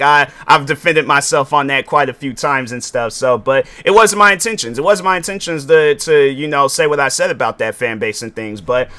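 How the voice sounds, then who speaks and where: A man's voice: fairly high pitch, sharp tone, clean tone. A man, giving a rambling casual commentary on his podcast with many fillers and restarts. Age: 20s. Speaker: podcast host.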